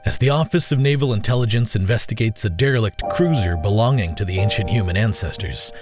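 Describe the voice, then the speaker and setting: Lowish-voiced male story narrator over music. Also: lively intonation, fast talker. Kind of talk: scripted story introduction narration.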